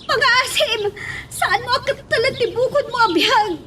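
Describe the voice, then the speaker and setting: Woman acting an extremely high-voiced anguished Ilocano daughter. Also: normal speed, bright, forceful tone, far from the mic, a little hiss or hum. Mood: anguished pleading. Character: anguished daughter begging her criminal father. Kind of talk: amateur Ilocano audio drama acting.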